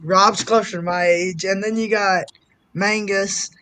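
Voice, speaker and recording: A man in his twenties chatting casually on a live stream, excitable and swearing freely. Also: sits high, measured pace, clear, resonant tone. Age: 20s. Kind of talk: casual chat.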